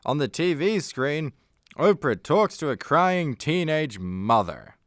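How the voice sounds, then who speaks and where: Measured, lively-pitched man narrating. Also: no echo, clean studio sound. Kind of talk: scripted radio play narration.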